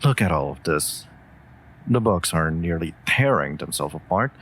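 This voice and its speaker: Man voicing a deep, gravelly wolf familiar. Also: animated, exaggerated voice. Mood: gently chiding. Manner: measured, gently reassuring, wry. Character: calm, dry-humoured, loyal wolf familiar. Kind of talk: scripted roleplay audio drama addressed to listener.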